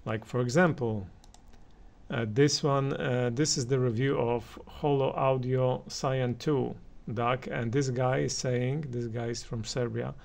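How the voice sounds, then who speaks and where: A man with a gravelly, creaky voice talks through his opinions on audio gear. He speaks slowly and haltingly, pausing often as he works out each point. His pitch sits in a middle range for a man. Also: steady tone. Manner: hesitant, halting delivery with many pauses. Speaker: audio reviewer and channel host. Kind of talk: unscripted opinion commentary on hi-fi gear.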